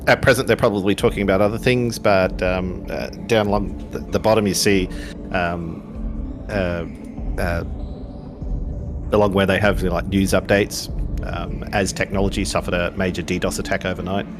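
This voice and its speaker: A man running a tabletop game as game master, narrating in a measured way. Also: sits low, steady tone, music in the background. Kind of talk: tabletop game narration, read like a news bulletin.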